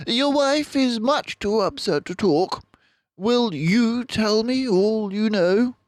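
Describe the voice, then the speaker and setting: Man voicing a high-pitched, courteous mouse detective. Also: dry recording, studio quality. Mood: inquisitive. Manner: measured, questioning. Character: male mouse detective, calm, courteous, keenly inquisitive.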